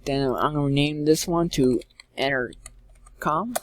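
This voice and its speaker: A man giving an informal step-by-step software tutorial in a halting way, repeating and correcting himself as he goes. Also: on the high side, dry recording. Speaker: tutorial presenter. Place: indoors, screen-recording voice-over.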